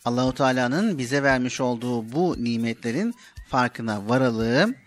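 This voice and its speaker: A man hosting a children's religious programme, telling stories and teaching morals in an expressive, direct way. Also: medium pitch, moderate pace. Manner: expressive, didactic, addressing listeners directly. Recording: music behind the voice. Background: background music.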